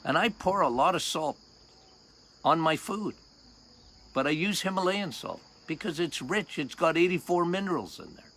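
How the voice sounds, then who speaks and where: An older man with a loud voice, a doctor hosting a health podcast outdoors, talking casually and emphatically, repeating key points and quizzing his listeners. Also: a little high, big pitch swings, normal speed. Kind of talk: casual health talk monologue.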